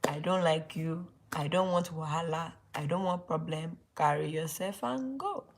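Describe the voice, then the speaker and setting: A woman's voice: low-pitched, moderate pace. A woman with a Nigerian accent voicing the young man Joseph, who bluntly and dismissively turns down someone's advances using Nigerian slang.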